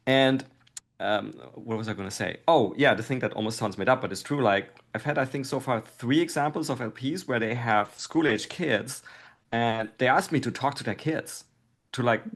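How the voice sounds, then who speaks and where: A man's voice: mid-range voice, normal speed, fairly bright tone. A middle-aged man speaking casually and thoughtfully as an interview guest, often dropping "like" into his sentences. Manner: thoughtful, hedging with "like". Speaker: podcast guest, investor.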